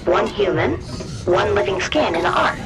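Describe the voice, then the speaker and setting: A woman's voice: mid-range voice, expressive, normal speed, natural voice. A woman playing an earnest, trusting technician chatting in a sci-fi video game. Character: trusting, earnest female technician, eager and relieved. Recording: music and ambience behind voice.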